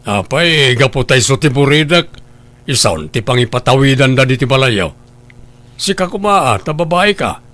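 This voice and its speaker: A man plays a scheming, grasping husband in a radio drama. He argues and goads in a gravelly, creaky voice pitched a little high for a man. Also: fairly bright tone. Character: scheming, grasping husband goading his wife. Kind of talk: Ilocano radio drama acting.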